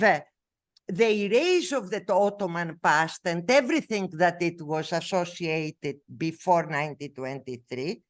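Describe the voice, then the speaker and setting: Woman, Greek accent, non-native English, academic lecture, halting with fillers, unhurried, lively pitch. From Greece. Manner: halting, many fillers and self-corrections. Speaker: researcher giving a talk.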